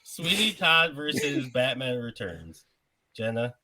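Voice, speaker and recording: A man's voice: mid-range voice, big pitch swings, a little slow, a loud crowd. A man hosting a casual podcast, often laughing as he reads out a movie bracket. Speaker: podcast host.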